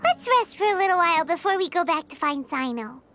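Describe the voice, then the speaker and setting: A woman voicing a tiny, childlike, excitable fairy companion in a video game, with a squeaky, chirpy, exclamatory delivery. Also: brisk, slight background noise, exaggerated voice. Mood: weary. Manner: exclamatory, refers to self in third person. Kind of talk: cartoonish video game voice acting.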